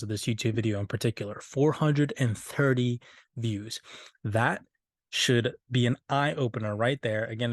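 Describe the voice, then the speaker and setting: A man's voice: medium pitch, no room sound. A male host breaks down a finance clip for his viewers, leaning on stock phrases and stressing key words. His voice sits in an ordinary middle range. The sound is dry and close, with no room around it. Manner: emphatic, repeats stock phrases. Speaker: crypto channel host. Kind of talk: video breakdown commentary.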